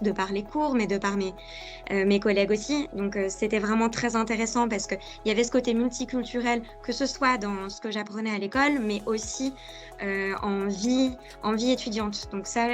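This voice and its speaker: A young woman from France telling her career story in a webinar, talking hesitantly with many fillers and restarts. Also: a little high. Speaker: graduate speaker in a webinar. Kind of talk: unscripted personal account.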